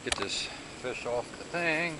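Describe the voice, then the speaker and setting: Excited man filming from a boat. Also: medium pitch, a little slow. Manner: excited, laughing, talks to the camera. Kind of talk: casual on-the-water commentary. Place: small boat on a river outdoors. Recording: loud wind and engine noise.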